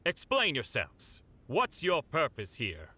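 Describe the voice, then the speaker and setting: An excitable, suspicious guard from an anime-style game. His voice is high and forceful, jumping about in pitch. Faint noise sits behind it. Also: slow pace, exaggerated voice.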